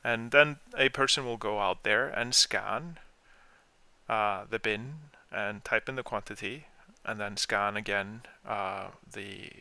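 A man explaining software step by step in an informal screen-share tutorial, as the trainer. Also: fairly low pitch, creaky, no echo.

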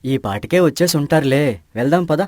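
A man plays A calm, inquisitive village friend from an animated moral tale. His voice is a touch high and he questions with patient reassurance, in a dry recording. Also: a little fast, dry recording, natural voice. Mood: calm, tactful. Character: level-headed village friend investigating patiently. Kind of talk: animated moral story dialogue.

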